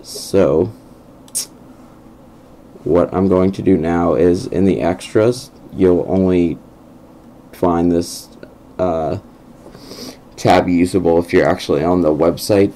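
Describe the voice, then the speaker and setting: A young man with a croaky, gravelly voice talks slowly through a game walkthrough, stopping often for ums and restarts. His voice sits very low and has a dark, mellow tone. Faint keyboard typing sits behind him. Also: a little hiss or hum. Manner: hesitant, many ums and restarts. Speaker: gameplay video host. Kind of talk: casual game walkthrough narration. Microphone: computer microphone over screen recording.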